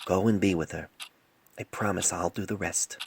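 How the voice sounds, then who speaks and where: A man's voice: low voice, fairly even intonation, moderate pace, creaky, breathy edge, dry recording, exaggerated voice. A man voicing an earnest, hesitant young male pony doctor in an audio storybook, recorded on a phone. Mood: tender. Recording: noisy amateur cell phone mic recording.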